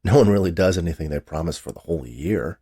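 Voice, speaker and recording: A man's voice: low-pitched, expressive, quick pace, mellow, husky. A man voicing a cavalier, flippant man in a short story, with a daring manner. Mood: dismissive. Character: cavalier man brushing off New Year resolutions.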